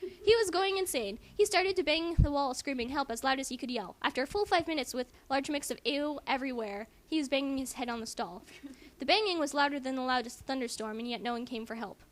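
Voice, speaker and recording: A woman's voice: high voice, a little fast. A woman giving a theatrically emphatic amateur reading, breaking off for squeamish, casual asides. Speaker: co-reader and commentator. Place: at home in a house.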